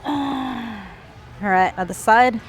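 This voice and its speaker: A woman's voice: on the high side, very slow, soft background music. Breathless woman coaching mid-workout. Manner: talks through exercise with effortful sighs and exhales. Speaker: fitness vlogger. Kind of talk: casual workout instruction. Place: gym.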